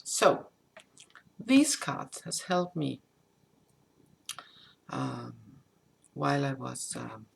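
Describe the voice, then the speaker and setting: A woman whose first language is Danish, a tarot reader who gives a casual spoken update, halting and improvising with many restarts. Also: a bass voice, big pitch swings, vocal fry, a little hiss or hum.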